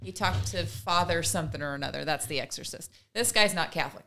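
A woman co-hosting a podcast, retelling stories casually and in an animated way, slipping into quotes as she goes. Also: low-pitched, fast talker, slight background noise. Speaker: podcast co-host. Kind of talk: casual conversational storytelling.

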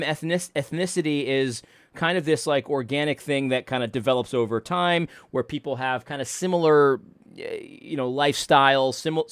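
A man's voice: fairly high pitch, clean tone, dry recording, clean studio sound. A married man from Connecticut, hosting a casual reaction commentary with many restarts and mocking asides. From Connecticut, USA. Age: adult, married. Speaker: commentary host reacting to a clip.

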